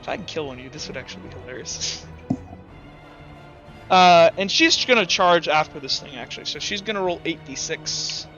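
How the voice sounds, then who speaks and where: A man's voice: a little high, expressive, brisk, pushed and bright, highs cut off. A man acting as game master in a tabletop roleplaying game, talking casually and swearing freely. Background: background music.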